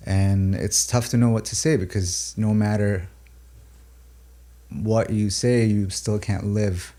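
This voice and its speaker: A man from Pakistan and the UAE, native Urdu speaker, talking thoughtfully about his music in a halting, filler-filled way. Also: on the low side, fairly even intonation, warm tone. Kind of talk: reflective interview talk.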